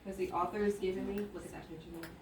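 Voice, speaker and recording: Casting: female elementary teacher, mid-pitched, instructive read-aloud with musing asides, recorded roomy and distant. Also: fairly even intonation, racing. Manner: thinking aloud with musing hums and exclamations; instructive, checking in with listeners. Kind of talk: interactive read-aloud lesson to children. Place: classroom with echo. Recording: roomy, distant mic, faint noise.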